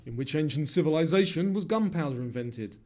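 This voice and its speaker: A man with a fairly high, very animated voice narrates a scripted quiz. He presents questions and choices in a set format, over faint music. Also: fairly quick.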